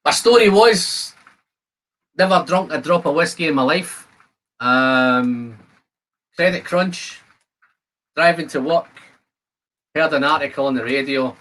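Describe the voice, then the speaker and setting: A Scottish man in his fifties hosts a casual live stream. His voice sits a little higher than most men's. He talks in a chatty, informal way, speaking straight to his viewers. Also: unhurried, long pauses, fairly bright tone, poor audio. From Scotland. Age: 50s. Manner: chatty, addresses viewers by name. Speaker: host of a whisky live stream.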